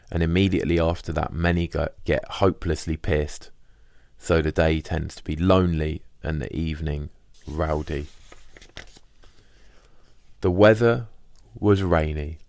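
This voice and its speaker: A man reads a diary as audiobook narration. His voice is very deep with a lot of fry in it. The sound is dry, with no room around it. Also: darkish tone, no echo. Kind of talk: scripted first-person diary narration.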